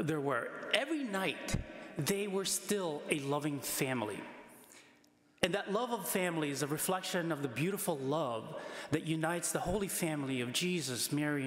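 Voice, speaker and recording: A middle-aged man, a priest, giving a measured, earnest sermon from a lectern microphone in a large church. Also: on the high side, a bit bright. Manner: measured, earnest preaching with emphatic rises. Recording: echoey church with long reverb.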